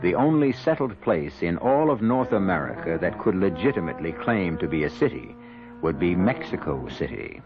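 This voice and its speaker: A man with a low voice hosts a vintage radio drama. His scripted narration is theatrical and deliberate, with lively swings in pitch. Music plays behind him. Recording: dull vintage radio recording.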